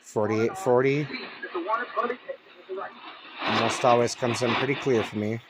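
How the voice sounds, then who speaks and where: A man's voice: mid-range voice, steady tone, measured pace. An American man from Colorado giving casual, off-the-cuff commentary as a hobbyist, musing to himself in an easygoing way. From Colorado, United States. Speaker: hobbyist shortwave radio vlogger. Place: upstairs bonus room at home. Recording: home recording with clear background noise. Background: shortwave radio static and stations.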